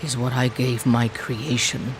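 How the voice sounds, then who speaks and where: An actor playing an ancient, sorrowful shaman of a stone people in a video game, solemn and measured. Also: mid-range voice, level tone, background noise, natural voice. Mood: sombre, reflective. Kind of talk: video game cutscene acting. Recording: clear music behind the voice. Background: game score.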